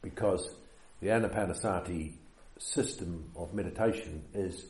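A man with a New Zealand accent leading a meditation retreat, giving an unhurried dharma talk with many fillers. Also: deep voice, darkish tone, roomy sound.

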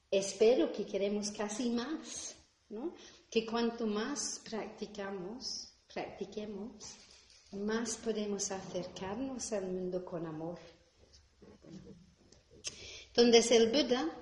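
A woman's voice: medium pitch, a little mellow, reverberant, a little hiss or hum, poor audio. A woman from Glasgow, a native English speaker, giving a reflective Buddhist talk in her second language, checking in with tag questions and sometimes searching for a word. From Scotland, Glasgow. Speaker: Buddhist teacher. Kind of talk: dharma talk.

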